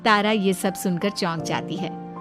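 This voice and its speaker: A woman giving scripted storytelling narration for an animated moral story. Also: medium pitch, a little slow, faint music behind.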